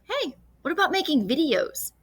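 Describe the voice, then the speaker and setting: A woman's voice: high-pitched, very animated, normal speed, fairly bright tone, no echo, voice pushed up, full character voice. A woman voicing a curious, cheerful, spirited little girl with a perky manner in a children's storybook.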